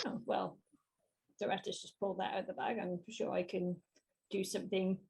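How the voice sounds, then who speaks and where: A woman's voice: mid-range voice, gravelly, darkish tone, breathy edge, poor audio. A woman playing a tabletop game, thinking aloud hesitantly. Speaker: player.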